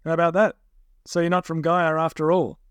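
A man's voice: on the high side, little pitch movement, a little fast, clean tone, no room sound, clean studio sound, voice pushed up, lightly acted. A man playing a suspicious kidnapping gang boss in an audiobook, terse, scornful and commanding. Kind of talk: audiobook character voice.

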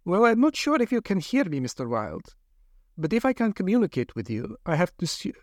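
Storybook villain: a man playing a brisk, falsely friendly woman doctor, high and insistent. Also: wildly swooping pitch, dry recording, clean studio sound. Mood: cold. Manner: polite, clipped, coolly insistent. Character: brisk cold female doctor, scientist experimenting on captives.

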